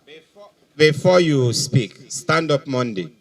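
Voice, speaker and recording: A man's voice: on the high side, expressive, slow pace, creaky, recorded from a distance. A middle-aged man with a Nigerian accent, a police officer questioning suspects with probing authority on a handheld microphone outdoors. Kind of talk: public interrogation at a press briefing.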